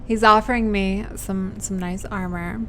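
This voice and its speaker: A woman's voice: medium pitch, fairly even intonation, unhurried, pushed and bright, slight background noise. A woman giving casual gameplay commentary, chatty and wry, thinking aloud as she plays. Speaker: gamer playing through a video game. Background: game music.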